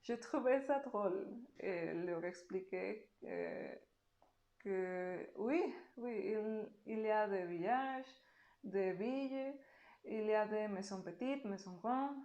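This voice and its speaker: A woman with a Mexican Spanish accent answers interview questions in a second language. She speaks very slowly and carefully, with pauses. Her voice sounds echoey, as if recorded in a bare room. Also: mid-range voice, sing-song, darkish tone, muffled recording. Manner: slow, careful speech with pauses.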